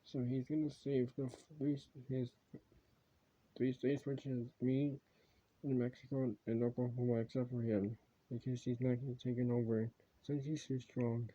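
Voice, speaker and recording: A man speaking casually as an amateur video creator, improvising in a halting, rambling, repetitive way on a dull home recording. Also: medium pitch, little pitch movement, dark tone. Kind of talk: casual improvised commentary and sign-offs.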